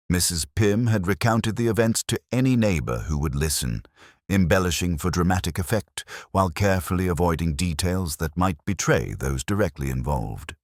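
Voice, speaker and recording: A man narrating a literary mystery story in a measured, formal storytelling manner. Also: deep voice, dry recording, clean studio sound. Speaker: audiobook narrator.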